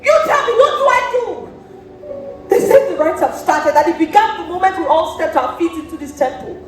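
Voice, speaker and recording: A woman's voice: extremely high pitch, sing-song, normal speed. A young woman acting an imperious, suspicious lady of an ancient Greek temple in a stage play, declamatory and commanding. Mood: troubled, desperate. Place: stage in an indoor theatre. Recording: echoey theatre, distant mic, music behind.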